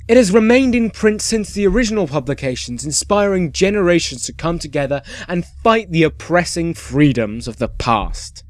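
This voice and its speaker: A man's voice: on the high side, no room sound, studio quality, voice pushed up, natural voice. A man playing a cheerful yet sinister dystopian radio host, delivering scripted propaganda in a theatrical radio-play style. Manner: theatrical, dramatic, swinging from grand proclamation to hushed menace. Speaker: radio announcer.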